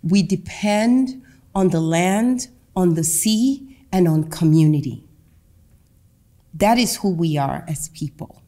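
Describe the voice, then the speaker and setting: A middle-aged woman from Honduras, a Garifuna speaker, giving a public talk from a lectern in a meeting room, with a slow, emphatic delivery. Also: lowish voice, lively intonation, mellow. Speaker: guest speaker. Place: meeting room with a seated audience. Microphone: lectern microphone.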